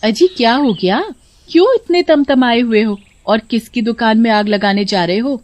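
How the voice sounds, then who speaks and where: A woman's voice: a little high, moderate pace, a little mellow, lightly acted. A woman voicing a scheming village wife in a cartoon, nagging and conspiratorial. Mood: exasperated. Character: scheming village wife egging on her husband. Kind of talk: cartoon acting.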